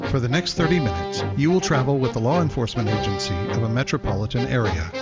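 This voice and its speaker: A man's voice: mid-range voice, lively intonation. Solemn male radio drama announcer. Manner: solemn, measured. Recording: lo-fi sound over loud brass music.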